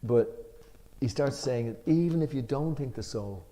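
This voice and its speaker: A man leading a scripture class in a temple, reading commentary aloud with sudden loud stresses and then explaining in a relaxed, chatty way. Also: mid-range voice, a little slow. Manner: reads with sudden loud emphasis on key words. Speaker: Gita class speaker.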